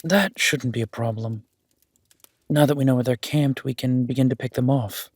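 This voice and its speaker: A man plays a careful, respectful warrior duke with a husky edge and a dry, close sound. He speaks in a measured, deferential way, as in a fantasy audio drama. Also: mid-range voice, steady tone, no echo. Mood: respectful, cautious. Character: deferential, cautious warrior duke, leader of a pack.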